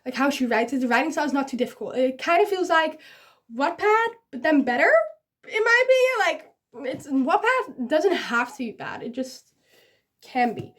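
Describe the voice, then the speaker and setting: A young woman with a Dutch accent and a high voice talks casually as a book vlogger. Dutch is her first language. Her delivery is giggly and rambling, with a self-deprecating streak. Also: sing-song, moderate pace, a bit bright. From Netherlands. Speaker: book vlogger giving recommendations. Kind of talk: casual chatty vlog to camera.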